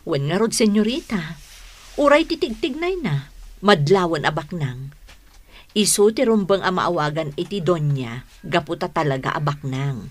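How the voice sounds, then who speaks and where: An actress with an Ilocano accent playing a deferential, chatty household companion in a radio comedy drama. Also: female voice, lowish voice, lively intonation, normal speed. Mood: admiring, amused.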